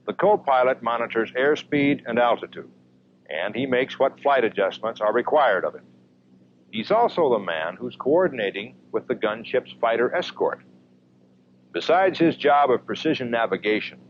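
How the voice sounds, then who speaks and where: An American man reading scripted military documentary narration in a measured, emphatic way, on an old film soundtrack. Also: mid-range voice. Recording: dull, slightly noisy 1970s film soundtrack.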